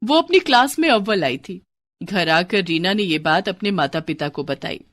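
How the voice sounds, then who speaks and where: A woman's voice: fairly low pitch, expressive, moderate pace, a bit bright, dry recording. A woman narrating a scripted children's moral story as a storyteller.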